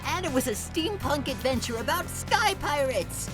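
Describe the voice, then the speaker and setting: A woman voicing a gentle, shy young bluebird in a cartoon comedy, good-natured and a little hesitant. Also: sits high, big pitch swings, moderate pace. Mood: amused, fond. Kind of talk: animated visual novel comedy dialogue. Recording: music behind the voice.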